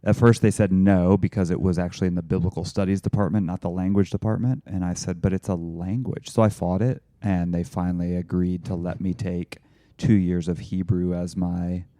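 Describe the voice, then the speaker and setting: A man with a low, very dark voice talks casually as a podcast co-host. He rambles and restarts often, and sounds close and dry on the mic. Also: little pitch movement, fairly quick, no echo. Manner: rambling, frequent restarts and fillers, laughs easily. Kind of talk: casual discussion.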